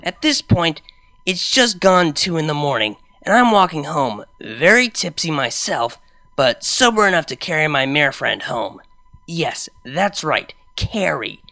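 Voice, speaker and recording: Brash, sardonic young DJ mare played by a woman for a cartoon fan story; bright, forceful, slangy delivery with big pitch swings. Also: sing-song, measured pace, full character voice. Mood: wry, tired. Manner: chatty, slangy, wry storytelling asides. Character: brash young female unicorn nightclub DJ, sardonic, exasperated. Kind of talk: first-person fan fiction narration.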